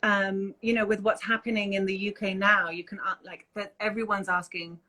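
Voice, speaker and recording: A woman singer talking as an interview guest in a thoughtful, relaxed way. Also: medium pitch, normal speed. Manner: thoughtful, relaxed, with frequent fillers. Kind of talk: casual conversational interview.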